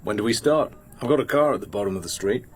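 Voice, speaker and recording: Man as a dry, low-voiced British secret agent. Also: animated, fast talker, faint music behind, natural voice. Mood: dry, amused. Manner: dry, guarded, understated. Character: cool British secret agent posing as hired security man. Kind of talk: radio drama acting.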